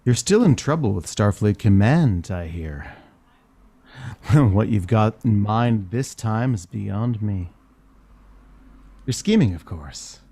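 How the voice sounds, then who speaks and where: A man's voice: fairly low pitch, expressive, slow, pauses often, mellow, husky, heavily acted. A man playing a starship captain's languid, teasing lover in a science fiction audio drama, speaking intimately. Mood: sly, coaxing.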